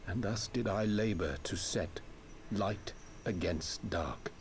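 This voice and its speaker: A man playing a solemn, grave scholar in a video game, speaking measured, ornate archaic English. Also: low voice, slight background noise. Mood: grave, regretful. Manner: measured, formal, archaic diction. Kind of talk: scripted video game voice acting.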